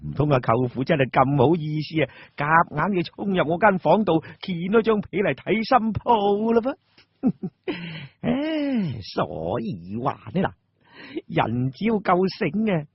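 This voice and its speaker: A man acts out a lazy young Cantonese schemer for a radio drama. He is sly and pleased with himself, with swooping pitch, a husky edge and a dry close recording. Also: big pitch swings, unhurried, no echo, lo-fi sound, heavily acted. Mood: smug scheming. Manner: sly, chuckling, boastful. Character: cunning, lazy, scheming young wastrel man, self-satisfied.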